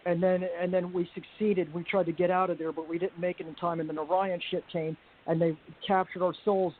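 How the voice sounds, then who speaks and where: A woman with a deep voice giving a personal testimony on a group call, talking in a halting, earnest way with many restarts and fillers. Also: level tone. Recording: tinny call audio with faint hiss.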